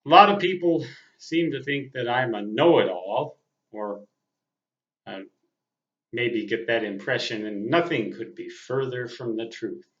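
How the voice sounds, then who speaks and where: A man in his sixties with a mid-pitched voice talks slowly and deliberately, in a casual, reflective monologue. He halts between phrases and leans on some for emphasis. The sound is slightly dull, with noticeable room echo. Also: a bit bright. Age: 60s. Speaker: veteran amateur radio operator. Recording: muffled audio in a roomy space.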